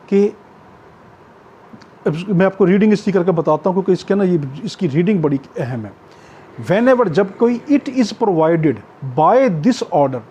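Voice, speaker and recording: Pakistani man, high mellow voice, lecturer explaining step by step with repetition, faint background noise. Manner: repetitive step-by-step explaining with frequent check-ins; switches into slow English when reading out clauses. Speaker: law lecturer. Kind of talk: explanatory exam-prep lecture, reading clauses and glossing them.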